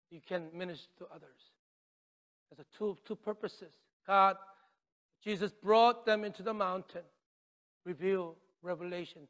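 Middle-aged male pastor, very high voice, animated sermon delivery, long church-hall reverb. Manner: animated, gesturing widely, swings from loud declarations to near-whispers. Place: church stage with drums and podium.

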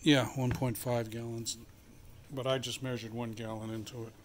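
A man's voice: mid-range voice, little pitch movement, moderate pace, slight background noise. A middle-aged man hosting a casual how-to video from his garage, rambling and thinking aloud with plenty of fillers. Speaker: channel host. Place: home garage workshop, then outdoors.

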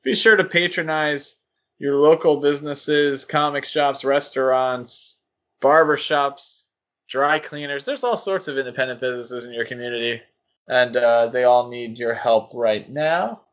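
A man's voice: mid-range voice, unhurried, a bit bright. A man hosting a podcast, chatting in a casual, conversational way. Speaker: podcast host.

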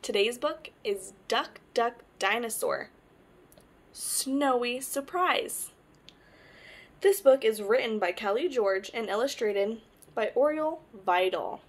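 A young woman hosting storytime, reading a children's picture book aloud with animated, deliberate phrasing. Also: on the high side. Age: young adult. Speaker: storytime host.

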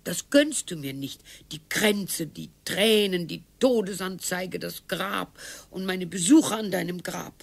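Croaky, sardonic old wife. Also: female voice, lowish voice, unhurried. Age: older. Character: weary, sardonic old wife, dryly morbid. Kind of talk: radio play dialogue.

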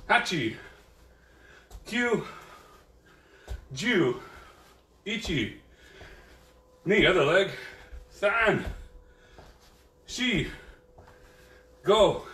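Upbeat karate instructor in echoey dojo. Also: male voice, sits high, fairly bright tone. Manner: easygoing, joking, counts drills aloud. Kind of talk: online class instruction with counting. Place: dojo training room. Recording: echoey room, voice from far off.

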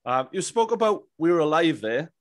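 A man's voice: a little high, very animated, moderate pace. A man in his thirties interviewing casually as a podcast host, chatty and bantering. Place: small indoor home studio. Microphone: close boom-arm microphone, headphones.

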